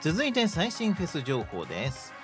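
A woman's voice: deep voice, wildly swooping pitch, moderate pace. A woman reading a scripted promotional announcement as a radio show host. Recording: faint music behind the voice. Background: background music.

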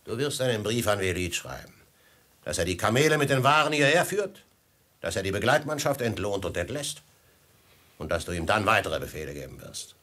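Radio-play villain: husky, falsely courteous bandit host, theatrical and unctuous, with lively melodic delivery. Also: male voice, fairly low pitch, brisk, full character voice. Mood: oily, commanding. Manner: unctuous, flowery, mock-friendly, theatrical. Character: smooth, menacing desert robber chief, falsely courteous host. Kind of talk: 1950s German radio drama acting.

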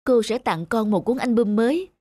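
A woman voicing a young, kindly schoolteacher in a cartoon, measured and tender. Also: fairly high pitch, dry recording, lightly acted. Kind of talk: cartoon voice acting. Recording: loud music behind the voice.

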